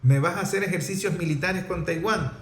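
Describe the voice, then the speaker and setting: A man's voice: high voice, fairly quick. A man with a Venezuelan accent giving explanatory political commentary in an emphatic, didactic way, with rhetorical questions and repetition. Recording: roomy, echoing sound.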